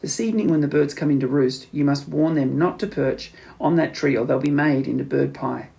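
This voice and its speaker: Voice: medium pitch, a little fast, vocal fry, dark tone, close to an everyday voice. Man as an urgent, captive storybook monkey. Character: anxious captive father monkey, warning and pleading urgently.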